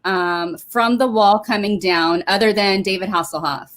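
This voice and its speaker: Slow-speaking curious female co-host. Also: mid-range voice, a bit bright. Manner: giggly, curious. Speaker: podcast co-host. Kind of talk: casual interview chat.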